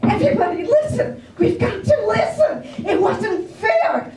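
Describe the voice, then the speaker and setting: Woman acting a very high, shrill protesting housewife. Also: very animated, a little slow, noisy background, heavily acted. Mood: indignant, protesting. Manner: insistent, repetitive pleading. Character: desperate protesting housewife and mother, shrill and indignant. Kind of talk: amateur stage readers theater acting. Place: library room, staged performance. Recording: roomy, distant mic in hall.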